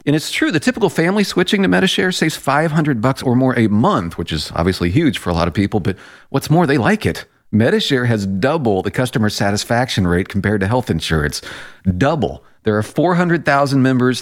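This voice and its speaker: Guy doing a radio ad read, mid-range voice, scripted but conversational. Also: mid-range voice. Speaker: commercial announcer.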